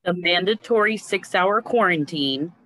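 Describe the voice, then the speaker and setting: A woman's voice: lowish voice, expressive, unhurried, a bit bright, low-quality recording. A woman playing an impassive colony computer, making formal procedural announcements in a screenplay table read. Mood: neutral, procedural.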